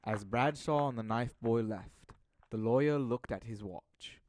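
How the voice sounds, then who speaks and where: Young man as a measured Victorian mystery narrator. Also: mid-range voice, darkish tone, no echo, natural voice. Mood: solemn, suspenseful. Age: young adult. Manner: measured, literary storytelling. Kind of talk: scripted audio drama narration.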